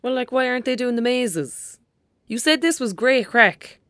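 A woman's voice: on the high side, lively intonation, normal speed, a bit bright, no echo, clean studio sound. A woman with a rural Irish accent playing a blunt, grumbling, mischievous young girl in an audio drama. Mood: sceptical. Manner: grumbling, teasing.

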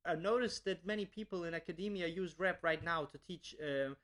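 A man's voice: high-pitched, a little fast, fairly bright tone, faint music behind. A young man from Bosnia, a language teacher, answering interview questions earnestly with many restarts and repeated words.

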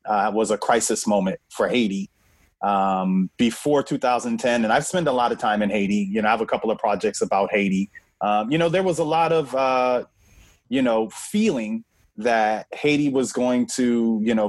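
A man's voice: on the low side, no room sound. A man co-hosting a local film talk show, speaking earnestly and filling gaps with "you know". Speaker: co-host.

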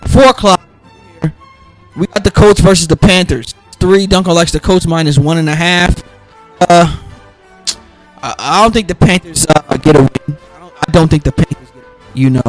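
A man hosting a casual solo football podcast, raspy and under the weather, rambling loosely with lots of fillers and restarts. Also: on the high side, normal speed, pauses often, soft background music, rough recording. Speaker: podcast host making football picks.

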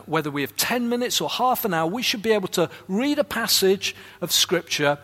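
High-voiced man preaching in a hall. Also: fairly bright tone. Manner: earnest, urging, asks many questions. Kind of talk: sermon. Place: church hall.

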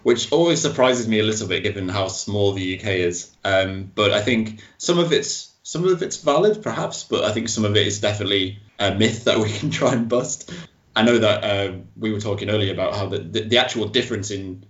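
Low-voiced male host in an echoey room. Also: a little fast. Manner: easygoing, steers the talk with questions. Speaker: discussion host. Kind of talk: casual panel discussion. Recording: echoey room, dull lo-fi sound.

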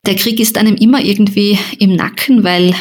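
Husky-voiced woman hosting a podcast. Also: mid-range voice, fairly even intonation, fairly quick. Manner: thoughtful, searching, with many fillers. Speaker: podcast host and journalist. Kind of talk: personal reflective conversation.

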